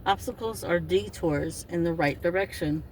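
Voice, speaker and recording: A woman's voice: fairly low pitch, normal speed. A woman in her fifties doing an oracle card reading, talking in a rambling, chatty way that often interrupts itself. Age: 50s. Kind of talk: casual spiritual card reading. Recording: distant mic with faint noise.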